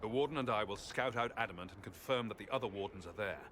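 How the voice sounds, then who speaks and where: Guy doing a grim cynical fighter, lowish voice, noisy game mix. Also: fast, a bit bright, close to an everyday voice.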